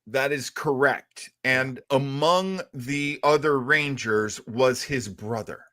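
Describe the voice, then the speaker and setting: A man's voice: medium pitch, slow, sharp tone. A man hosting a casual chat about old-time radio, an enthusiastic and chatty explainer. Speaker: host.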